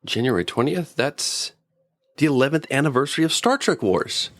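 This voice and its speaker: Man playing a creaky, weary time traveler. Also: mid-range voice, very animated, moderate pace, a bit bright, dry recording, studio quality. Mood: surprised. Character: regretful male time traveler on trial, weary. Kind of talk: radio drama acting.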